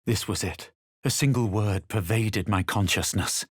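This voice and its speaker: Low, solemn male fantasy adventurer. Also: unhurried, breathy edge, no echo, studio quality. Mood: solemn narration. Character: superior, self-assured male fantasy adventurer hero. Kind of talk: scripted video game voice lines, first-person narration.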